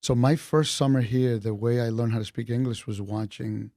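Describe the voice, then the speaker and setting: A man in his fifties with a New York accent, born in Colombia, telling stories from his life in a relaxed, chatty way as an interview guest. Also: medium pitch, normal speed, dry recording, clean studio sound. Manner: relaxed, chatty, laughs between points. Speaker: retired police officer, interview guest. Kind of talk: casual storytelling in an interview.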